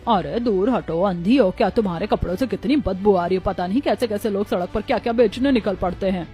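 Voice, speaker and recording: A woman's voice: mid-range voice, brisk, warm tone, soft background music, natural voice. Woman as a scornful, scolding passer-by. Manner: scolding, contemptuous. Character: rude, scornful female passer-by.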